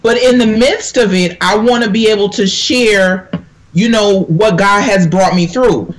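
A woman's voice: medium pitch, a little slow, low-quality recording. A woman minister from Jamaica speaking in an earnest, sermon-like way on a panel talk, quoting scripture. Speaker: minister, guest. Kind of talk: conversational panel talk with preaching.

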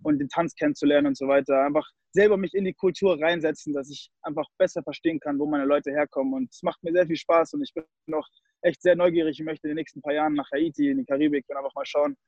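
A man's voice: on the high side, steady tone, quick pace, a little mellow, dry recording, dull audio. A young man from Germany, a guest in a casual interview, who slips English phrases into his German. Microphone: call recording.

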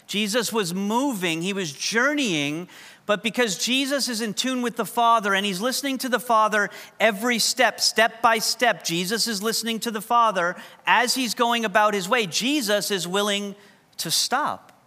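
High bright-voiced New preacher. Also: male voice, moderate pace. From New York. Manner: conversational, humorous storytelling. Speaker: pastor. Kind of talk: sermon. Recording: long hall reverb.

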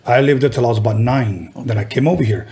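A middle-aged man from Southern California hosting a podcast, asking questions in a relaxed, conversational way. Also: mid-range voice, fast. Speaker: podcast host. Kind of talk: casual podcast interview.

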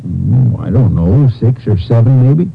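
An actor playing a plain-spoken, folksy Texas sheriff in an old-time radio crime drama. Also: male voice, mid-range voice, lively intonation, measured pace, vocal fry, slightly rough, phone-quality sound, faint music behind, full character voice. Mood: helpful. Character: plain-spoken small-town Texas sheriff, a grown man. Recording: band-limited vintage radio recording.

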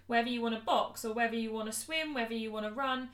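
A British woman with a fairly high voice talks in an echoey room. She is a fitness coach in a casual podcast conversation, chatty and earnest, with informal phrasing. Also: fast, fairly bright tone. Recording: roomy, echoey room sound.

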